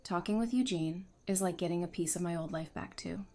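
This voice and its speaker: A woman's voice: on the low side, brisk, dark tone, lightly acted. A woman playing a frank, teasing, friendly nerd in an audio drama, with wry conversational delivery. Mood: reflective. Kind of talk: fan audio drama acting.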